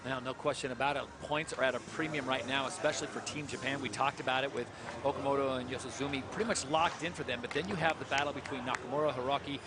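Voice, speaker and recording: A man calls a live skateboarding event in a brisk, informative commentator's voice. His voice sits at an ordinary middle pitch. Loud noise rumbles steadily behind him. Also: fairly even intonation. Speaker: play-by-play commentator.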